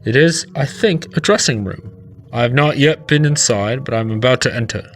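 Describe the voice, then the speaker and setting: A man's voice: mid-range voice, normal speed, natural voice. A man playing an earnest doctor investigating the paranormal, giving precise, formal reports in a horror radio play. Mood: solemn, methodical.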